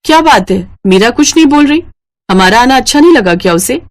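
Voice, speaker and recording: A woman's voice: a little high, lively intonation, a little fast, close to an everyday voice. A woman playing a demanding, blunt elder sister who complains, in a scripted moral story. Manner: blunt, entitled, grumbling. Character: demanding, complaining married older sister visiting her brother.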